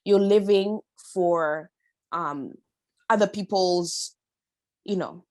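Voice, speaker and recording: A woman's voice: lowish voice, slow, darkish tone, dry recording, studio quality. A woman chatting personally as a podcast guest, emphatic and slangy in a motivational way. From Kenya. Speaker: podcast guest, body-confidence influencer. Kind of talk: casual, personal interview chat.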